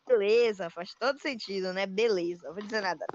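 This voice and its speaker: A young gamer with a fairly high voice chats over a game, excitable and teasing, breaking into sing-song bits. The sound is dull and lo-fi, like a cheap headset. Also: male voice, brisk, slight background noise. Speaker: channel host. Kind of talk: casual gameplay chat. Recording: dull lo-fi voice chat.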